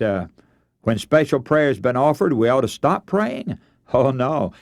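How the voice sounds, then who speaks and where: A man's voice: mid-range voice, darkish tone, no room sound, clean studio sound. A man preaching a radio sermon, earnest and emphatic, telling stories and posing rhetorical questions.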